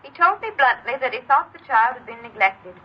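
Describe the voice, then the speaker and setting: Old radio drama, dull sound, actress as middle-aged mother, clipped, formal, anxious, emotionally distant, animated intonation. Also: fairly high pitch, fairly quick, lightly acted. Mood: worried, doubting. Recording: muffled, noisy old radio recording.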